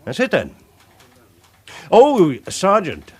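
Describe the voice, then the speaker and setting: Brisk British police chief. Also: male voice, sits high, huge pitch swings, darkish tone, slightly rough, heavily acted. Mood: curt. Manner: curt, authoritative, clipped orders. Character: brisk, commanding male police chief inspector. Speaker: chief inspector. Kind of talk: 1980s radio drama acting.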